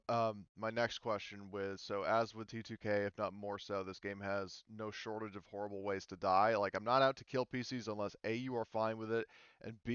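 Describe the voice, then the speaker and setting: A man with a fairly low, smooth voice talks as a game master to his group. He is casual and easygoing, pausing as he asks questions. The sound is close and dry, slightly dulled. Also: no room sound, muffled recording. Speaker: game master hosting a tabletop RPG group. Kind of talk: casual group discussion, asking players questions.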